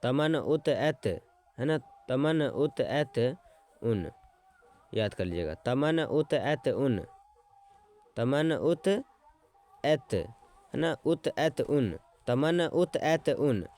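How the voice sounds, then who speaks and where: A man teaching an exam-prep lesson, repeating words slowly as he writes them out and checking in with his students as he goes. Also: medium pitch, lively intonation, darkish tone. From Bihar.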